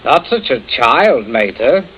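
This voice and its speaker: An actor playing a flippant, languid young upper-class British son in a 1940s radio drama, with an affected drawl. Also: male voice, mid-range voice, sing-song, takes it slowly, fairly bright tone, slightly rough, exaggerated voice. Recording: muffled old 1940s radio recording.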